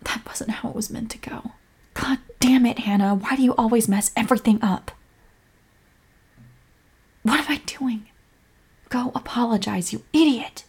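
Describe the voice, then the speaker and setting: Female, acting wounded but devoted girlfriend in audio roleplay, creaky voice, unhurried, emotional delivery. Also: on the high side, exaggerated voice. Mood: self-reproaching, worried. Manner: emotional, swinging from sharp reproach to tender whispers. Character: hurt, apologetic girlfriend quarrelling with and comforting her partner. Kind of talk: scripted romantic audio roleplay, addressing the listener.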